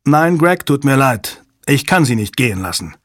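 A man's voice: medium pitch, big pitch swings, normal speed, no room sound, studio quality. A man voicing a resolute older male commander in an audiobook, firm and authoritative, with clipped delivery. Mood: cold, resolute. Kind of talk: dramatic audiobook character voice.

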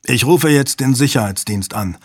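A man's voice: mid-range voice, lively intonation, normal speed, a little mellow, husky, dry recording, studio quality. A man voicing a resolute older male commander in an audiobook, firm and authoritative, with clipped delivery. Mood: cold, resolute. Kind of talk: dramatic audiobook character voice.

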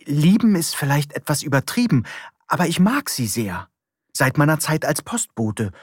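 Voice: medium pitch, big pitch swings, moderate pace, husky, dry recording, clean studio sound, pitched above the performer's own voice. A narrator voicing a nervous, chatty middle-aged man, a former postman who rambles eagerly. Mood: rambling. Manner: chatty, fussy, eager to please. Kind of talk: audiobook character voice.

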